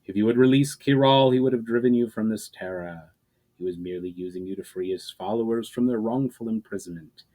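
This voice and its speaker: A man's voice: fairly low pitch, a little fast, mellow, natural voice, low-quality recording. A man voicing an amused, self-assured warrior who taunts his foe in a fantasy story reading. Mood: amused, taunting. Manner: mocking, amused.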